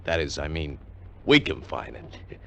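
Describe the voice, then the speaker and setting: A big, jovial, simple-minded American slob in a 1950s radio play. His voice is bright and forceful, leaping up and down in pitch. He talks in a folksy, boisterous, repetitive way. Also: sing-song, unhurried, vocal fry, exaggerated voice. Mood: eager, rambling. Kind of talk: 1950s radio drama acting.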